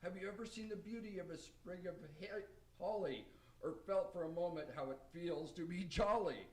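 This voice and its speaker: A man's voice: sits high, normal speed, a little mellow, distant mic, lightly acted. Kindly, earnest Father Christmas figure, played by a man, reciting radio-play verse in a roomy echo, off mic. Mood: earnest, lyrical. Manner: declaiming rhymed verse, earnest. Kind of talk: amateur radio play acting.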